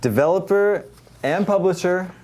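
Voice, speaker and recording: A man's voice: high-pitched, normal speed, a little mellow. A man hosting a casual guessing game on a podcast. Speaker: podcast host, quizmaster.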